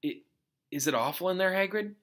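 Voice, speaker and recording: A man voicing an earnest, bookish young schoolgirl in a storybook, gentle and reassuring. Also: high-pitched, animated, fairly quick, voice pushed up. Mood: timid, kind.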